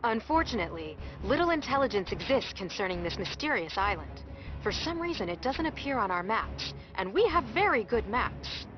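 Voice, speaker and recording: Female game mission briefer, efficient and animated in pitch acted. Dull audio, music behind. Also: moderate pace, natural voice. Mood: businesslike.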